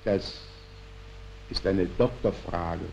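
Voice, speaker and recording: A man reading a play as a skeptical, guarded old dignitary, halting and deliberate, in a historical recording. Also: deep voice, darkish tone, slight background noise, pitched below the performer's own voice. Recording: dull historical archive recording.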